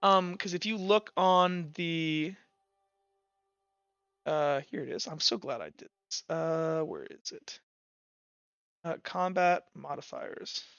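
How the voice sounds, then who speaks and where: A man with a fairly high, smooth voice runs a tabletop game, rambling through rules and scene descriptions and often correcting himself. The audio is dry and a bit dull, with music underneath. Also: slow pace, long pauses, a bit bright, dry recording. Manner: rambling, self-correcting, explanatory. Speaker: game master. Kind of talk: improvised narration of a tabletop roleplaying game.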